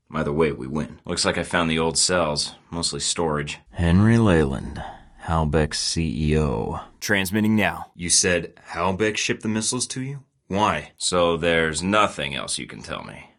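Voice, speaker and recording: A man's voice: low voice, fairly bright tone, exaggerated voice. An actor playing a wry, cocky young male spy agent in a video game, with short dry lines and a sardonic edge. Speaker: game protagonist.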